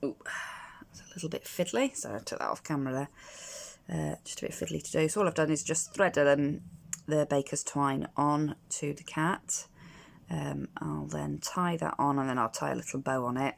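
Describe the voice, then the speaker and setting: Croaky low-voiced woman crafting. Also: moderate pace. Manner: chatty and hesitant, full of ums and restarts. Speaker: crafter demonstrating card making. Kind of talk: casual crafting tutorial.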